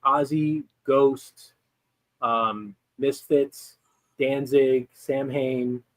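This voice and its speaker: Male guest on a live chat, plain middle pitch, dry close sound, stumbling through facts. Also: steady tone, extremely slow, no echo, poor audio. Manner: hesitant, many fillers and restarts. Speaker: guest Ouija board historian. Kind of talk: casual livestream chat.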